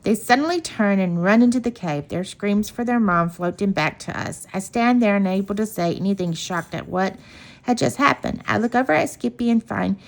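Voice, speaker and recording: Female storybook reader, mid-pitched and highly animated, expressive delivery for kids. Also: normal speed, gravelly, rough recording. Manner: expressive, acts out dialogue. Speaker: author reading own children's book. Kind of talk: children's storybook read-aloud.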